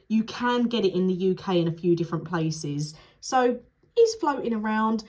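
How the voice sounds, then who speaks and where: A woman's voice: mid-range voice, darkish tone. A young woman talking through a candle review in a chatty, frank way. Her voice sounds nasal and blocked up from a cold, with the odd sniffle. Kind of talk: casual product review vlog.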